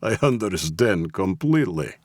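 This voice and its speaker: Smooth Russian gangster, raised pitch. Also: male voice, very animated, dry recording, studio quality, exaggerated voice. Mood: smoothly polite. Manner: courteous, measured, quietly menacing. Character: smooth, menacingly polite Russian gangster boss.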